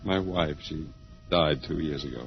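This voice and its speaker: An actor playing a resigned, detached academic held captive, sardonic and given to lecturing, in a 1950s radio drama. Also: male voice, rumbling low, moderate pace, creaky, husky, soft background music, heavily acted. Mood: gently resigned. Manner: sardonic, lecturing, hesitant asides. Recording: dull, lo-fi old radio recording.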